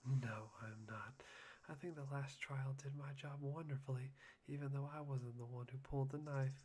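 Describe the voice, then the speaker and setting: A man playing a soft-spoken, eerily polite young man obsessed with hope, musing breathily in an ASMR roleplay. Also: medium pitch, fairly even intonation, moderate pace, lightly acted. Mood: polite curiosity. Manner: breathy, polite, musing, often near whispering.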